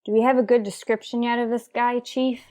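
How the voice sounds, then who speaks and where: A woman's voice: fairly high pitch, flat delivery, brisk, mellow, slight background noise, natural voice. A woman playing a tough, businesslike police detective sergeant who narrates a radio crime drama in a clipped, matter-of-fact way. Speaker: narrator and lead actor.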